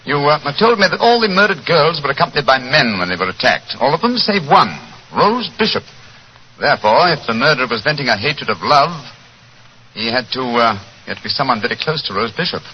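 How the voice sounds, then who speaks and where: An actor playing a shrewd, self-assured gentleman detective, precise and urbane, in 1940s radio acting style on an old recording. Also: medium pitch, big pitch swings, a little fast, lo-fi sound, a little hiss or hum, lightly acted. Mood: dry.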